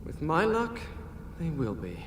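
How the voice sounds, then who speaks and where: A man's voice: fairly high pitch, wildly swooping pitch, a little slow, background noise. A man playing a young heroic prince in a video game, wry and earnest in a conversational way. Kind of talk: video game cutscene acting. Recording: echoey, loud music behind.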